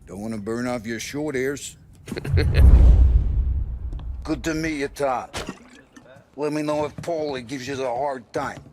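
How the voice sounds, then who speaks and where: An actor playing a boisterous male weapons supplier for a gang, loud and laughing in a video game. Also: mid-range voice, a little slow, pauses often, noisy background, natural voice. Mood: jovial. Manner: boisterous, laughs often, slangy.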